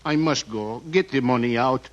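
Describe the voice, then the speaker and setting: A man's voice: medium pitch, moderate pace, lightly acted. A man playing an exasperated violin teacher in a 1940s radio comedy, pleading and insisting on being paid. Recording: dull, very noisy old radio recording.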